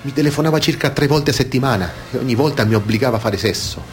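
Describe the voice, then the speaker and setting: A man's voice: medium pitch, fast, faint music behind, lightly acted. An actor playing an adult male abuse survivor, reciting grave testimony for radio theatre in a sober, restrained way.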